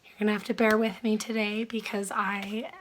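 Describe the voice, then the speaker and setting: A woman who is sick and losing her voice, chatting softly through a makeup tutorial, often dropping into a whisper. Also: medium pitch, little pitch movement, normal speed, a little hiss or hum, rough recording. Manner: soft, chatty, trailing into whispers. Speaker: beauty vlogger.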